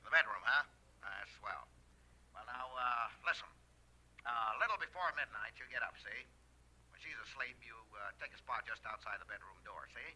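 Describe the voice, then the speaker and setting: Piercing, hard-edged tough gangster on a telephone. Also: male voice, medium pitch, expressive, normal speed, sharp tone, low-quality recording. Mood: conspiratorial. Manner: clipped, slangy, punctuated with "see?". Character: tough, sly gangster giving orders, movie-mobster type. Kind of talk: 1940s radio gangster acting.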